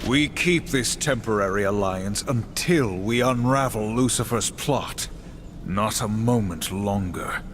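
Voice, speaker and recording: A man's voice: on the low side, measured pace, background noise, close to an everyday voice. A man acting a stern, honor-bound warrior horseman, grave and formal, in a video game cutscene.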